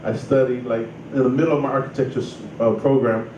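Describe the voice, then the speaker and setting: A man's voice: medium pitch, fairly even intonation, fast talker, darkish tone. A man in his thirties, from Samoa, casually telling his life story in a loose, rambling way full of "you know" and "like". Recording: roomy distant mic with noise.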